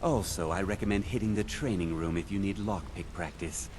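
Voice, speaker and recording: An actor playing a suave, thrill-seeking male thief in a video game, talking in a smooth, confiding way. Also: deep voice, fairly quick, darkish tone, close to an everyday voice. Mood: confiding, wistful. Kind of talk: scripted game dialogue. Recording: clearly noisy background under game dialogue.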